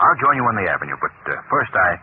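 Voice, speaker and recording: A man playing a calm, knowing gentleman detective and shadowy avenger in an old-time radio drama, speaking in a measured way. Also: low voice. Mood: kind, reassuring. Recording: tinny, noisy old radio recording.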